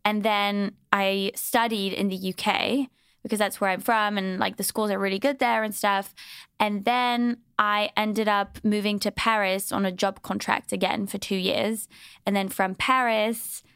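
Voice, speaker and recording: A woman's voice: mid-range voice, level tone, unhurried, a bit bright, clean tone, no echo, clean studio sound. A young woman from Switzerland chatting casually as a podcast guest, blunt and opinionated, swearing freely. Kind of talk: casual chat.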